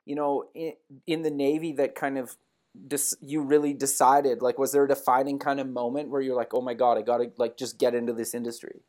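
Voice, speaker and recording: A man's voice: mid-range voice, little pitch movement, a little fast, darkish tone. A man hosting an interview podcast, chatting casually and leaning on filler words. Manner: upbeat, leans on filler words. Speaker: podcast host.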